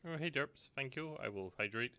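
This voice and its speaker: A man's voice: medium pitch, expressive, a little fast, pushed and bright. A man with a British accent giving casual, sarcastic, sweary commentary as a game streamer.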